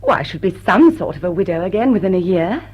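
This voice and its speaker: An actress playing an enigmatic, teasing society widow in a period drawing-room drama, coolly witty and playful. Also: female voice, lowish voice, very animated, fast, a little mellow, slightly rough. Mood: wry. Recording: dull, muffled audio.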